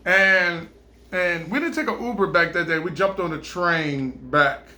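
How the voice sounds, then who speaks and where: High-voiced man rambling through a story. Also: measured pace, pushed and bright. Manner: rambling, many ums and you-knows. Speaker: vlog host telling a personal story. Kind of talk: casual storytelling.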